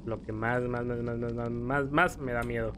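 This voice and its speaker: A man's voice: mid-range voice, fairly even intonation, normal speed, noisy background. A young man with a Mexican accent chatting casually over gameplay, stammering and rambling as he tells stories. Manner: rambling, stammering storyteller who acts out scared quotes. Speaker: gameplay commentator. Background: game music.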